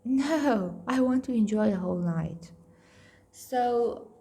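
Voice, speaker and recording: Blunt Indian-accented woman, slow talk. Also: a little high, expressive, warm tone, slight background noise. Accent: Indian-tinged English. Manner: candid, blunt, swears freely, self-mocking. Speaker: nutrition coach. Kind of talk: casual weight-loss vlog.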